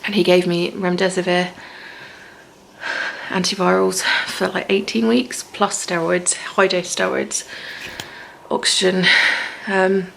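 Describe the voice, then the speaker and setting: Woman vlogger, worn-out delivery, heavy vocal fry, narrow monotone pitch. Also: slow pace, slight background noise. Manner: weary, low-energy talk. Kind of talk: personal video diary. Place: apartment at home.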